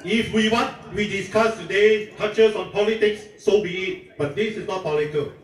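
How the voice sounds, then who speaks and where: A man's voice: high voice, normal speed. A man emceeing an outdoor protest, stressing his points through repetition. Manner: emphatic, repeats key phrases. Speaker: rally emcee. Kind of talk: announcing at a protest. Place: outdoor park rally. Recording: distant, echoey, crowd noise. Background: chanting crowd.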